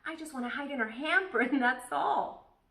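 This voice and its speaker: A woman voicing an excitable, nosy, stubborn kindergarten girl from a children's storybook, blurting things out emphatically. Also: sits high, normal speed, vocal fry, voice pushed up, full character voice. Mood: defiant. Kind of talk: children's storybook read-aloud. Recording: echoey room.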